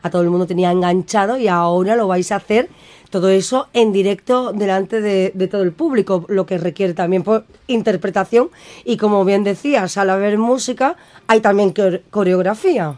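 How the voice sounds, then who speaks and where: A woman's voice: mid-range voice, normal speed, darkish tone. A woman hosting a radio interview, attentive, with frequent short acknowledgments. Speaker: radio host. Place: radio studio.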